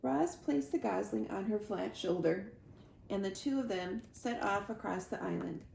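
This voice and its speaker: A woman reading a children's storybook aloud with expressive narration. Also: medium pitch, normal speed. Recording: roomy echo, clear background noise.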